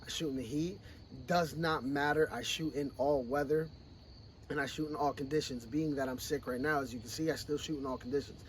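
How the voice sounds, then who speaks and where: A hoarse, under-the-weather man speaks loudly and bluntly outdoors at a shooting range, with a slightly high voice. A faint outdoor hum sits behind him as he talks straight to the camera, pushing his viewers to go out and train. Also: steady tone, fairly quick. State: sick, losing his voice. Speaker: firearms training vlogger. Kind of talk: casual talk to camera at a range.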